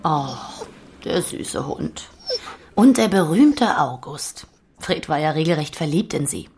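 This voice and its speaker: A woman's voice: sits low, very animated, takes it slowly, a little mellow, a little hiss or hum, heavily acted. A woman playing a worldly, wry Berlin publisher in a German radio play, speaking in an arch, playful way. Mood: wry, breezy.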